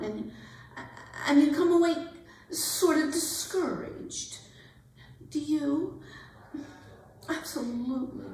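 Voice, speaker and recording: A woman performs a young society debutante, giddy, earnest and a little self-important. Her voice is high and swoops about with lively, halting delivery, as in a staged reading. It rings with heavy echo, like a hall heard from some way off. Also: far from the mic, full character voice. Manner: gushing, halting, breathy exclamations and giggles. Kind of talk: theatrical dramatic monologue at a staged reading. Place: arts center performance space. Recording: echoey hall, picked up from afar.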